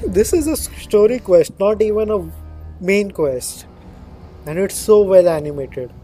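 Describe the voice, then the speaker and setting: A man's voice: high voice, expressive, a little slow. A man giving casual reaction commentary while playing through a game. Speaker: gamer streaming a playthrough. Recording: loud game music behind the voice.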